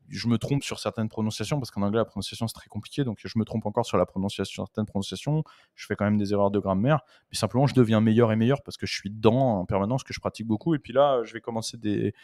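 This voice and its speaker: A man's voice: lowish voice, darkish tone, no echo, clean studio sound. A man as a guest on a casual live chat, rambling with fillers and blunt slang.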